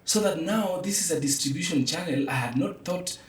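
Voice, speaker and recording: A man's voice: on the high side, brisk. A man with a Kenyan accent in reflective conversation, speaking emphatically and philosophically and slipping between English and Swahili. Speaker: podcast guest, theatre practitioner. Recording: roomy, echoey, distant from mic.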